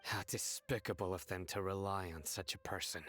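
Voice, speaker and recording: Solemn male game warrior, deep voice, grave and stern delivery. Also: fairly even intonation, normal speed, fairly bright tone, soft background music. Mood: grave disapproval. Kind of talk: video game voice acting.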